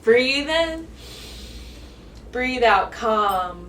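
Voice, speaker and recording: A woman with a high voice teaches yoga to young children in an encouraging singsong. She takes it very slowly, spelling out each step in simple words. She sounds some way from the mic in an echoey room. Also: animated, fairly bright tone, a little hiss or hum. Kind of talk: instructing young children through poses, step by step. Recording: echoey room, distant mic, music behind.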